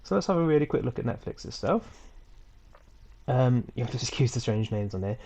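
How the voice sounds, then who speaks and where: A man with a head cold walking viewers through a screen-recorded tutorial at home in a relaxed, rambling way, with frequent ums and small self-corrections. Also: mid-range voice, a little fast, gravelly, a little hiss or hum. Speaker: tutorial host. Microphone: computer mic for a screen recording.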